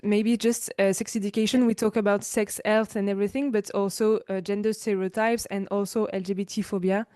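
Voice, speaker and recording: French-accented woman, hesitant and smooth. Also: medium pitch, little pitch movement, brisk, hardly any pauses, mellow, no room sound, clean studio sound. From France. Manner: hesitant, apologetic, searching for words. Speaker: sex educator, panelist. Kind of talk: online panel introduction. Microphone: video call.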